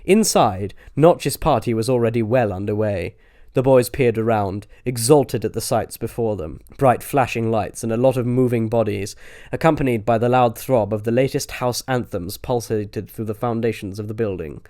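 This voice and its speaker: A man's voice: medium pitch, normal speed, mellow. A man reading a scripted comic audiobook as narrator.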